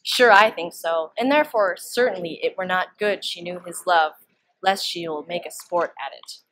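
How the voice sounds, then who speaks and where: A teenage girl playing a deferential gentlewoman attendant who joins in a plot, in a student Shakespeare performance. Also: fairly low pitch, normal speed, natural voice, poor audio. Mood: earnest, deferential. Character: Ursula, young gentlewoman attendant, deferential co-conspirator.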